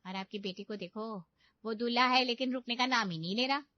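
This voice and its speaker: Casting: woman as bossy, sarcastic young big sister for cartoon comedy, higher-pitched, very dry sound. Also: fairly quick, no room sound, lightly acted. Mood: exasperated. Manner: scolding, sarcastic. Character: bossy, teasing elder sister of the groom. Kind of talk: animated Hindi comedy story dialogue. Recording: dull, lo-fi studio voice-over.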